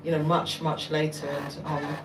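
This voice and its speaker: A woman's voice: deep voice, monotone, normal speed. A woman on a panel, speaking thoughtfully and steadily in an open discussion. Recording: echoey room, distant mic, noisy.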